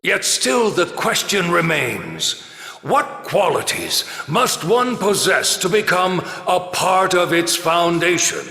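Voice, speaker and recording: An actor voicing an elderly, dignified military academy principal giving a formal, measured ceremonial speech in a video game. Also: male voice, high-pitched, very animated, vocal fry, sharp tone, full character voice. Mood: solemn, stately. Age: older. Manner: stately, measured, oratorical. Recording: echoey hall with long reverb.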